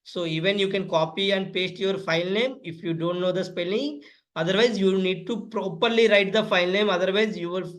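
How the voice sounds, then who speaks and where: High-pitched man giving step-by-step instructions. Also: fairly even intonation, brisk. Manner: instructive, spells out words letter by letter. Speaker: tutorial presenter. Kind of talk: step-by-step spoken software tutorial.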